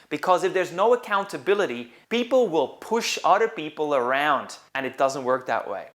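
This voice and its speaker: A man's voice: fairly high pitch, fairly bright tone. A middle-aged man from Belgium, a business coach, telling his own story to camera in an earnest, reflective way from a couch indoors. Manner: earnest, reflective, measured delivery.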